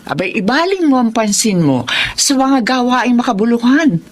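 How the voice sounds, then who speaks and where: An actress playing a firm, wise older mother in a melodrama, giving counsel in a measured, admonishing way. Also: a little high, very animated. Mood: firm, consoling. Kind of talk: radio-style melodrama acting.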